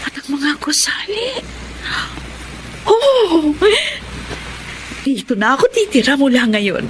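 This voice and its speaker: A woman's voice: high-pitched, very animated, slow pace, noisy background, heavily acted. A woman playing an earnest, hopeful young student from the province in a Filipino radio drama. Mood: awed, anxious.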